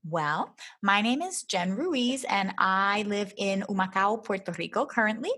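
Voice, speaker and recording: Chatty woman with a husky bright voice. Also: mid-range voice, animated, measured pace. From Philadelphia, US. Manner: chatty, thinking aloud with fillers. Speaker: guest, travel blogger. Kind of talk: casual interview.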